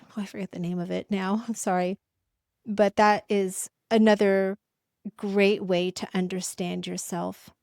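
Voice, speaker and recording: A middle-aged woman chatting casually to camera, stopping and restarting her sentences as she thinks aloud. Her voice sits at an ordinary pitch and sounds close and dry, with no room around it. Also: creaky, darkish tone, no room sound. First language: English. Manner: halting, with frequent restarts and fillers. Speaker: coach and channel host.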